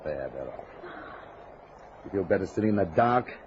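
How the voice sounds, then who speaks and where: A man's voice: sits low, lively intonation, normal speed. An actor playing a brusque, impatient husband trying to reassure his frightened wife in an old-time radio drama. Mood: exasperated. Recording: noisy, muffled vintage radio drama recording.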